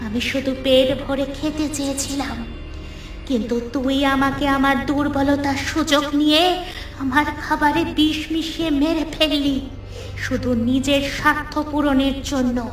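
A woman voicing the vengeful ghost of a wronged young woman in a scripted horror cartoon, with a deliberate, aggrieved delivery. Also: high voice, steady tone, lightly acted. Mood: vengeful, accusing. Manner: slow, deliberate, grievance-laden delivery. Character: vengeful female ghost of a poor starved murdered girl. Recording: echoey, distant voice over music.

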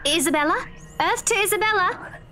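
A woman's voice: very high-pitched, lively intonation, fairly quick, pushed and bright, a little hiss or hum, exaggerated voice. An actress voicing a bossy, irritable young woman in a visual novel game, stern and nagging. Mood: impatient.

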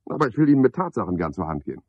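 A self-important club chairman in a seventies radio drama. He is a deep-voiced, gravelly man who cajoles and preens. The old recording is dry and somewhat dull. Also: big pitch swings, rapid-fire, darkish tone, dry recording. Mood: animated, insistent. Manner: pompous, cajoling, one-sided conversation. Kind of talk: 1970s German radio play acting. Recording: dull, band-limited 1970s radio recording.

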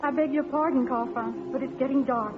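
A woman's voice: high voice, fairly even intonation, a little fast, heavy background noise, close to an everyday voice. An actress playing a sweet, polite, friendly young inn girl in a 1950s musical radio drama, with a theatrical manner. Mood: shy, tender. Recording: tinny vintage radio broadcast with music.

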